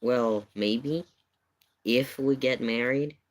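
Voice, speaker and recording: Slow-talking streamer, halting and casual. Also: mid-range voice. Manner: hesitant, halting storytelling with repetitions. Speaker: live streamer reacting to videos. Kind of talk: casual livestream chat, rambling anecdote.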